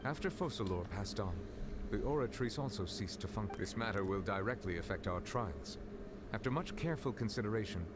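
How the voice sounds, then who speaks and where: An actor playing a composed, formal judge and dragon in a video game, speaking in a measured, solemn way. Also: male voice, lowish voice, animated, very noisy, close to an everyday voice. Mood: grave. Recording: game audio under loud music.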